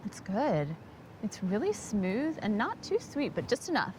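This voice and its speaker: Brief: enthusiastic female travel host, animated voice, recorded outdoors with clear background noise. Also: mid-range voice, unhurried. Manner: bubbly, enthusiastic questioning. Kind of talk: on-location interview. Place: outdoors on farms.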